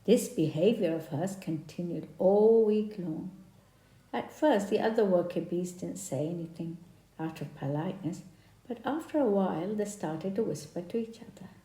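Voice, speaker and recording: Mellow woman narrating a children's bedtime story. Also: lowish voice, normal speed. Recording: echoey, roomy voice recording.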